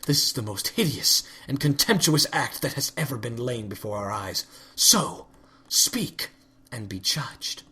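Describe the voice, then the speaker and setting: A man's voice: mid-range voice, lively intonation, measured pace, pushed and bright. A man voicing a regal, furious elder winged pony princess who speaks in archaic, commanding formal speech. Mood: imperious.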